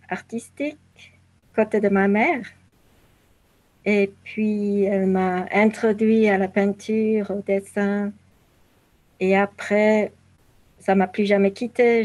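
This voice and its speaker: Slow-spoken woman, halting and reflective. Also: medium pitch, a little mellow, rough recording. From southern Africa (Zambia and Zimbabwe). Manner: halting, searching for words with long pauses. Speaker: interview guest, painter. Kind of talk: reflective interview answers.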